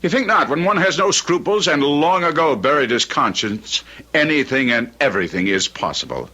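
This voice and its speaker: An actor playing an arrogant, scheming Victorian gentleman in an old-time radio drama, theatrical and contemptuous. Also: on the high side, lively intonation, moderate pace, breathy edge, dull audio, heavily acted. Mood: defiant, sneering. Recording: noisy vintage radio recording.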